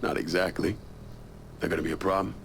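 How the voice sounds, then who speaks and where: An actor playing a tough, vengeful American war veteran turned crime boss in a video game, speaking tersely and bluntly. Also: male voice, deep voice, fairly even intonation, fairly quick, gravelly, fairly bright tone, slight background noise. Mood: cool, businesslike. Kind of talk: video game cutscene acting.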